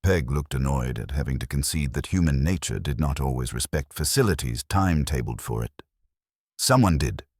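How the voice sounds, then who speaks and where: A man reading scripted story narration in a measured, literary storytelling manner. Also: extremely low pitch, expressive, a little mellow, slightly rough, no room sound, clean studio sound.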